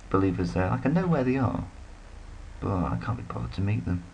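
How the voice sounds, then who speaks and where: A man's voice: low-pitched, very animated, brisk, a little mellow, a little hiss or hum. A man giving rambling, casual commentary while playing a strategy game, full of self-deprecating asides. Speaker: let's play commentator.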